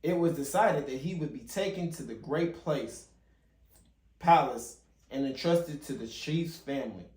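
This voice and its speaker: Man, slightly high voice, storytime read-aloud, occasional stumbles and rereads, roomy echo. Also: a little slow, darkish tone. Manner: stumbles and rereads phrases as he reads. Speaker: father hosting a children's read-along channel. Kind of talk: reading a picture book aloud. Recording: roomy, echoey home recording.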